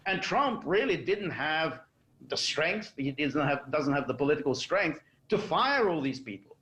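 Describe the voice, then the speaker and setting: A man giving measured, explanatory commentary as a guest in a political panel discussion. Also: on the high side, sing-song, sharp tone, slight background noise. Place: remote call for a quarantine show. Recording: dull lo-fi connection.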